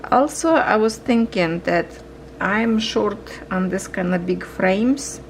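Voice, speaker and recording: Slow gravelly-voiced woman stitching and chatting. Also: mid-range voice, slight background noise. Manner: slow, musing, talks to viewers while working. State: losing her voice, coughing. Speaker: cross-stitch vlogger. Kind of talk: casual chat while stitching.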